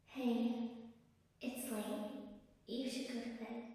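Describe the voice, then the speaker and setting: A caring cartoon pony guardian played by a woman speaks gently and slowly with a creaky edge. She sounds a little distant from the mic. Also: a little high, level tone. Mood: concerned.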